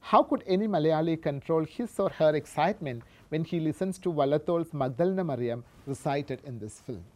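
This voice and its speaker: A woman presents a scholarly paper, reading out passages and explaining them in a halting way, often correcting herself. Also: deep voice, dark tone. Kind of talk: scholarly lecture read from a paper with spoken asides.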